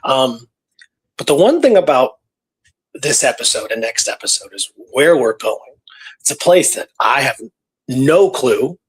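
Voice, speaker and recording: A man's voice: mid-range voice, very animated, a little slow, husky, low-quality recording. A man co-hosting a show, talking casually and somewhat hesitantly as he searches for his words. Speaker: podcast co-host. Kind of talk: casual conversational storytelling.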